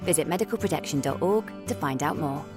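A woman's voice: deep voice, animated, quick pace. A woman reading a scripted advertisement in a polished, persuasive voice. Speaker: ad voice-over. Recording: music bed under voice.

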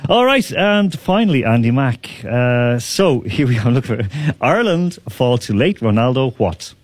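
A man hosting a radio quiz, teasing contestants, laughing often and repeating questions slowly. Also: medium pitch, big pitch swings, no echo. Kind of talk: lively radio quiz banter.

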